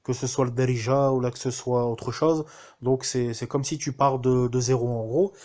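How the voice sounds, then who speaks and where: Un homme français qui témoigne de manière spontanée, en hésitant souvent et en reprenant ses phrases. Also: male voice, mid-range voice, steady tone, normal speed, darkish tone. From France. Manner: hesitant, many fillers and restarts. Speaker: student giving a testimonial. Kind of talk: casual spoken testimonial.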